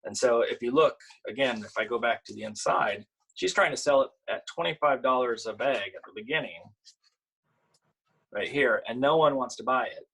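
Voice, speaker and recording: A man with an average-pitched, husky voice talks casually to children as an author and illustrator. He explains things conversationally, with halting phrasing. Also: a bit bright, low-quality recording. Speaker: picture book author and illustrator. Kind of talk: casual storytime talk for children. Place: at home, online video event.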